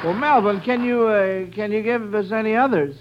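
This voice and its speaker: A man with a high-pitched voice hosts a quiz show in old-time radio style, chatting with young contestants. He echoes and affirms their answers. The recording is dull and lo-fi, like a vintage broadcast. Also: normal speed, over a noisy crowd, very noisy.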